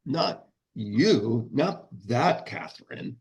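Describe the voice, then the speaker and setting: Slow, gravelly, mild-mannered monk played by a man. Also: mid-range voice, full character voice. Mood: sheepish. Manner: hesitant, earnest, deadpan. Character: mild, literal-minded older monk, earnest and innocent. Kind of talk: informal script table read.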